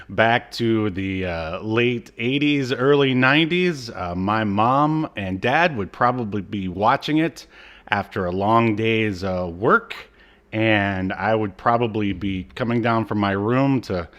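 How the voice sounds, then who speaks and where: A man's voice: on the low side, lively intonation, slow, a bit bright. A man co-hosting a podcast in casual comedic banter, joking in a mock-serious way. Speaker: podcast co-host.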